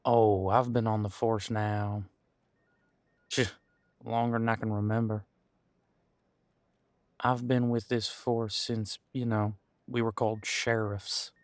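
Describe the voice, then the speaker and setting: A man's voice: fairly low pitch, level tone, takes it slowly, pauses often, dry recording. A man playing a friendly, long-serving supernatural squad cop in an audio roleplay, chatting in an easygoing, folksy, slightly hesitant way. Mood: folksy, reminiscing. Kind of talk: conversational audio roleplay to an unseen partner.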